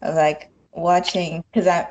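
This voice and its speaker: Low-voiced woman speaking very slowly. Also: flat delivery, darkish tone, highs cut off, slight background noise. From China. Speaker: podcast guest. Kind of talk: casual chat.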